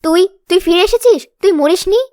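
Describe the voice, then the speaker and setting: A woman voicing a bossy, greedy, sharp-tongued village sister-in-law in a children's cartoon. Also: very high-pitched, normal speed. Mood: astonished. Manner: scolding, imperious. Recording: music under the voice. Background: children's music.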